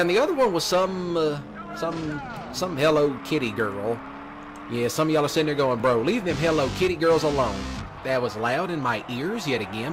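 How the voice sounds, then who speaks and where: A man in a casual, rambling livestream rant, repeating himself and addressing his audience as y'all and lads. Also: mid-range voice. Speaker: livestreamer doing a let's play. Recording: noisy with car engine sounds, uneven volume. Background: car and vehicle sounds from racing game.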